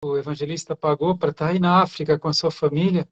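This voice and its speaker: A man's voice: a little high, steady tone, quick pace, a bit bright. A man hosting a live church interview, asking questions and adding encouraging remarks. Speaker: church show host. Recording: dull, lo-fi audio.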